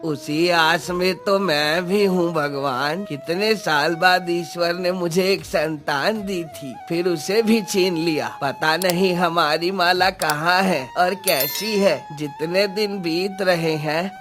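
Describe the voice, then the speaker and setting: A man's voice: sits high, a bit bright. A man voicing a grief-broken landlord father in a cartoon moral story, lamenting in mournful tones.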